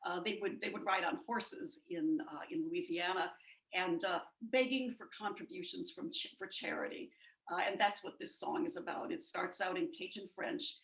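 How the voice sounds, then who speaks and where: Woman musician on a video call, bright, chatty, lots of fillers; echoey room. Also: on the low side, normal speed, vocal fry. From United States. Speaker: musician guest. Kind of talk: casual spoken introduction.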